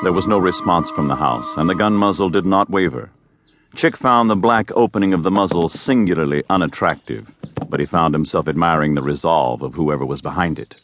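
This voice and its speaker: A man's voice: sits low, fairly quick, fairly bright tone, a little hiss or hum. A man narrating a scripted Old West tale as a grave storyteller. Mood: measured.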